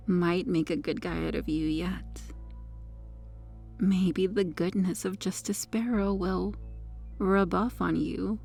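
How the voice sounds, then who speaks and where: Female actor as possessive superheroine kidnapper for ASMR roleplay: dark, relaxed tone with vocal fry, coaxing, sweetly menacing. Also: lowish voice, exaggerated voice. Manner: coy, smug, coaxing, lingering over words. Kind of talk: ASMR roleplay, intimate soft delivery.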